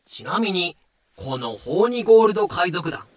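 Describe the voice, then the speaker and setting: A man's voice: high-pitched, very animated, moderate pace, no room sound. A man narrating an audio drama from a script in a dramatic storytelling style.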